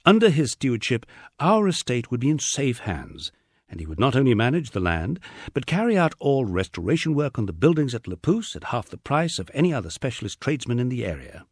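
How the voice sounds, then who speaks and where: A man's voice: mid-range voice, sing-song, a little fast, slightly rough, no echo, studio quality. A man reading a humorous memoir as an audiobook, with a wry storytelling delivery. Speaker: audiobook narrator. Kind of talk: scripted narration of a humorous memoir.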